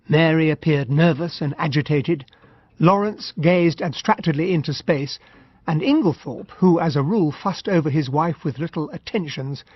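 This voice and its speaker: Genial English captain, muffled recording. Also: male voice, on the high side, no room sound. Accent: English Received Pronunciation. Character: genial, polite English army captain recounting events. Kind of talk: radio drama narration and dialogue. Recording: dull, noisy radio drama recording.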